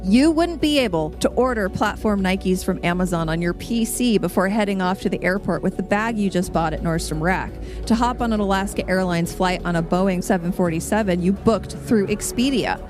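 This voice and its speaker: An American woman reading a scripted persuasive speech as a podcast guest, playful and tongue-in-cheek in a mock-grand delivery. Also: medium pitch. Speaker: podcast guest making a debate case. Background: music under the voice.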